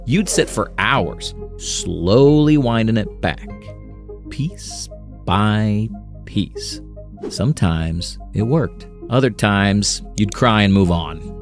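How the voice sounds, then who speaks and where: A man narrating a scripted, nostalgic video essay in a playful, dramatic way with short punchy phrases. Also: mid-range voice. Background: music under the voice.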